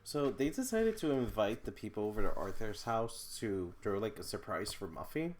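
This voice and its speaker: A man with a fairly low voice talks as a book vlogger. His delivery is casual and conversational, full of slangy asides and playful disbelief at what he is reading. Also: very animated, normal speed. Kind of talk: casual reaction read-along chat.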